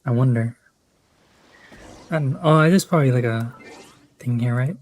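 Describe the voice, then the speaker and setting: A man's voice: mid-range voice, normal speed, a little mellow. A young man doing casual live gameplay commentary, slangy, rambling and self-deprecating. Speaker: game streamer.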